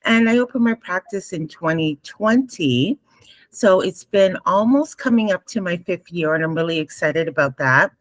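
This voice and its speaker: A woman's voice: lowish voice, animated, normal speed, dry recording. A woman psychotherapist giving a composed, polished introduction of her practice over a video call. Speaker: psychotherapist guest.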